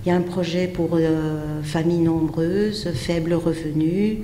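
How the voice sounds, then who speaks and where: A middle-aged woman from Belgium being interviewed, telling her story in a hesitant, measured way with frequent pauses. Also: low voice, steady tone, dark tone. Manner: hesitant, measured, pausing often. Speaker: interview guest, community association member. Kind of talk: unscripted personal account. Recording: echoey hall, faint background noise.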